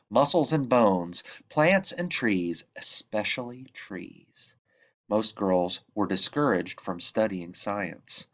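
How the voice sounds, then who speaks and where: Low-voiced man reading a storybook aloud, muffled sound. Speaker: reader of a children's picture book. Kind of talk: read-aloud storybook narration.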